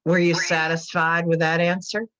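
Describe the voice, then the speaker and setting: An actress playing a world-weary, religious middle-aged mother in an audio drama, plainspoken, measured and folksy. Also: lowish voice, flat delivery, a bit bright, close to an everyday voice. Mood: gently probing. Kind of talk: naturalistic podcast radio drama acting.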